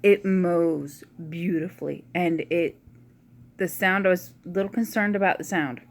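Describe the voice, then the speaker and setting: A woman with a quiet, low voice chatting casually in a rambling home vlog. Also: unhurried. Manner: rambling, soft-spoken, uses y'all. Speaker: vlogger. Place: at home indoors.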